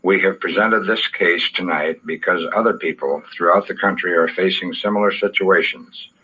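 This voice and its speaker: A man with a very low, hard-edged voice delivers formal scripted radio commentary as a police chief. He is solemn and measured, on a dull old radio recording. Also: bright, forceful tone, husky.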